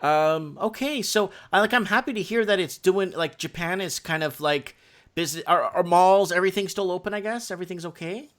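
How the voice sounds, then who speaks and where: A man with a slightly high voice hosts a casual live call-in stream. He muses aloud in a halting way, often circling back to repeat his own phrases. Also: fairly bright tone. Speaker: live stream host taking guest calls.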